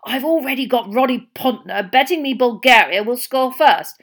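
A woman voicing a boisterous, hearty male sports official, loud and jovial. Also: a little high, expressive, moderate pace, pitched above the performer's own voice. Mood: eager.

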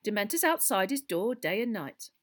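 A woman voicing a pompous middle-aged male government minister in a storybook, officious, measured and grave. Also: medium pitch, very animated, voice pushed up, lightly acted.

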